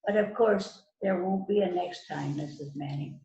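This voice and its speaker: A woman's voice: on the low side, lightly acted. A woman playing a stern police detective in an amateur mystery reading, stumbling and repeating words as she reads. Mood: accusing. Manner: halting read-aloud delivery with stumbles and repeats. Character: stern female police detective questioning suspects. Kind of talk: amateur readers theater mystery. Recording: echoey, muffled, noisy online call.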